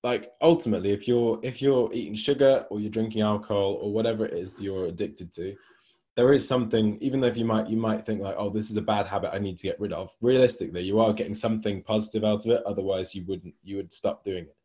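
A man with a mellow, darker tone and a lowish voice, chatting on a podcast as a coach. He talks loosely, with plenty of fillers like "like" and "you know". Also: steady tone, fast talker. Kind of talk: casual podcast discussion.

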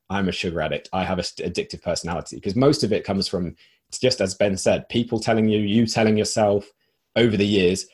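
Podcast host guy, kinda husky, losing his voice, lowish, explains stuff with examples. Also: a little mellow. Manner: explanatory, uses analogies. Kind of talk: casual podcast discussion.

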